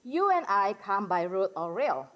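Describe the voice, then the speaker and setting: A woman from Indonesia with an Indonesian accent gives an informal academic presentation to a general audience, halting often and peppering it with casual filler words. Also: medium pitch, very animated, fairly bright tone. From Medan, Indonesia. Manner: conversational and halting, peppered with "like" and "you know". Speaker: academic discussant at a conference.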